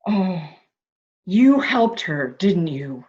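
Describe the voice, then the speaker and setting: A woman's voice: fairly low pitch, big pitch swings, extremely slow, darkish tone, exaggerated voice. A woman playing a fretful, intense, protective member of a household in a melodramatic mystery reading, in slightly dull sound. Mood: agitated. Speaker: amateur reader, library staff. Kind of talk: dramatic reading of a murder mystery.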